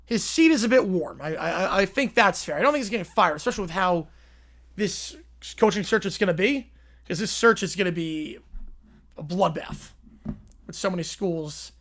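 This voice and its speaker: A man's voice: high voice, expressive, moderate pace, a bit bright. A man in his twenties from Long Island, casually calling a football game play by play on a livestream while chatting with viewers. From Long Island, New York. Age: 20s. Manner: chatty, answers viewer questions between plays. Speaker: livestream host and play-by-play commentator.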